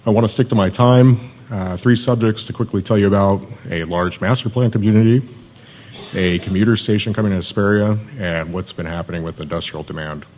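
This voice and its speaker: A very deep-voiced man delivers a city pitch in an even, monotone way, dropping small fillers as he goes. A faint hum of ambience sits behind him. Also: brisk. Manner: self-described monotone, steady with fillers. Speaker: economic development manager. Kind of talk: conference pitch presentation.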